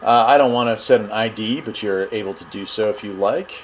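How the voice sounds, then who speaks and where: A man's voice: lowish voice, fairly quick. A man giving a casual, folksy product demonstration, thinking aloud as he goes. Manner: informal, folksy, thinking aloud while operating a device. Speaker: host of a radio review channel. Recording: clear steady background noise.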